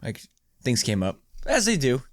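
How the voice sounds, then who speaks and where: A man's voice: mid-range voice, wildly swooping pitch, moderate pace, dry recording, clean studio sound. A man co-hosting a podcast in casual conversation, talking in an informal, slangy way. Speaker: podcast co-host. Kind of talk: casual chat.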